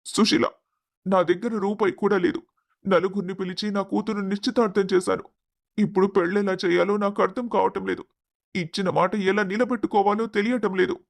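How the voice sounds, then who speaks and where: A man's voice: sits high, steady tone, brisk, creaky. A man playing an earnest, grief-stricken middle-aged father in a melodramatic family story. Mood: distressed.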